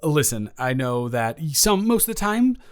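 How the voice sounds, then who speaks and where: A man's voice: a little high, sing-song, measured pace, clean tone. Nervous, stammering roommate, acted by a man. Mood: nervous, rambling. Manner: stammering, self-deprecating, repeats himself. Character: nervous, rambling young male roommate confessing feelings. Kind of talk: improvised intimate audio roleplay.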